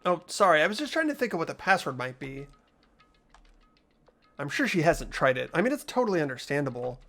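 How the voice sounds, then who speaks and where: A man playing an earnest, hesitant, anxious high school boy in an amateur video game dub, narrating and speaking in character. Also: very animated, a little fast, sharp tone, full character voice. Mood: thoughtful, hesitant. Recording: music behind the voice.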